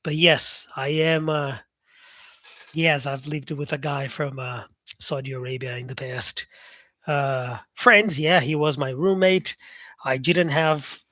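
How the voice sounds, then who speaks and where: A fairly high-voiced man hosts a live English lesson and chats loosely with viewers. He reads out their comments and talks with plenty of fillers. His voice is close to the mic and sounds dry, with no room echo. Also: fairly even intonation, slow, sharp tone. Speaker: English teacher on a live stream. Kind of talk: casual live-stream teaching, talking to viewers.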